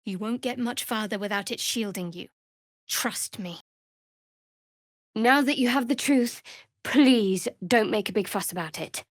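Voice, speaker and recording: Guarded dark cleric for a fantasy game: higher-pitched, wry and measured, close dry recording. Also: female voice, no room sound. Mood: guarded, insistent. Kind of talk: fantasy video game voice acting.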